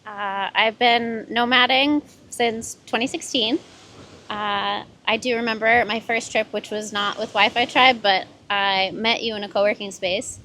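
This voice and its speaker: A woman's voice: on the high side, fairly even intonation, unhurried, bright, forceful tone, slight background noise. An American woman from Boston chatting casually as a guest on a panel. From Boston, United States. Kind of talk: casual chat.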